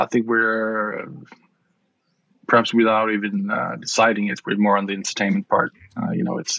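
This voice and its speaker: A middle-aged man from Sweden with a Swedish accent, answering interview questions with many pauses and restarts. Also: on the low side, a bit bright, no room sound, muffled recording. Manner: hesitant, many fillers and restarts. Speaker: wine guide founder. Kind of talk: podcast interview.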